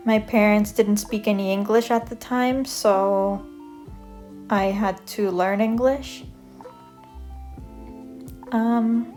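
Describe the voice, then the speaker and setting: A young woman from Canada chatting casually as she draws, rambling with lots of fillers and self-corrections. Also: medium pitch. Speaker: art vlogger. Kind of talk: casual chat over a draw-with-me video. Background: soft guitar music.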